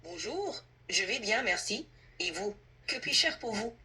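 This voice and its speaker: Husky, bright synthetic female assistant. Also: medium pitch, very animated, measured pace. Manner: polite, fluent, helpful, orderly. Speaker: AI language tutor playing an employer. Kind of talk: conversational assistant role-play.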